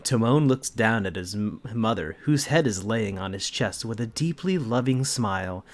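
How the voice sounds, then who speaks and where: A man reading comically and commenting casually, amused, repeating his phrases haltingly, with uneven volume. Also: lowish voice, expressive. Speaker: co-host reading fan fiction aloud.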